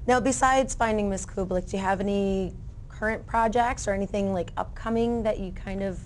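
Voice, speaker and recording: Upbeat female interview host, medium pitch. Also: a little fast, slight background noise. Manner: upbeat, encouraging. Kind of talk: casual interview chat.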